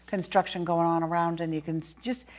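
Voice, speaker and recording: A middle-aged woman with a Boston accent, hosting a local talk show interview, chatty and quick-talking with many restarts. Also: on the low side, steady tone.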